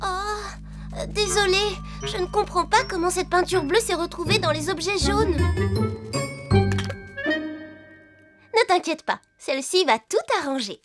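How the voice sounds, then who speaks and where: Very high, chirpy girlish helper. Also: moderate pace. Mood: apologetic. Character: helpful, scatterbrained young female cartoon garage helper with magic powers. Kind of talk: children's cartoon dubbing. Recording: loud music behind the voice. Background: children's cartoon music.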